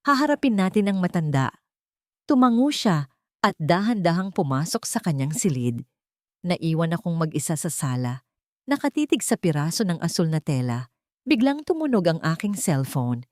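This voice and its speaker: A woman's voice: low voice, sing-song, moderate pace, dark tone, dry recording, studio quality. A woman narrating a scripted first-person story in a dramatic, suspenseful and emotive way.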